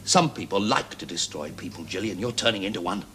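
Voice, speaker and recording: Sharp, domineering British boss. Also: male voice, low voice, animated, quick pace. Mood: scornful. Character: domineering, volatile male research boss, condescending and scornful. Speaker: actor in a television drama. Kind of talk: 1970s British television drama acting. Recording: noisy 1970s television drama soundtrack.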